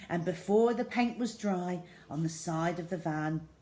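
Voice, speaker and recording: A woman reading a children's story aloud as an expressive storyteller. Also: on the low side, measured pace.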